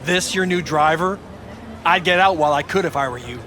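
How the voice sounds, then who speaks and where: An actor playing a remorseless, taunting rival in a video game, mocking and smug. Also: male voice, fairly high pitch, a little fast, sharp tone, a little hiss or hum. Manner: mocking, unrepentant. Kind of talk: video game cutscene acting. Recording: music behind the voice.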